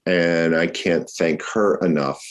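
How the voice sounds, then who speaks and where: A very low-voiced man hosts a lecture series over a video call. He thanks the people behind the scenes with gratitude before introducing the guest. His speech is loose and full of ums and uhs. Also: fairly even intonation, unhurried. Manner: warm, grateful, with many fillers.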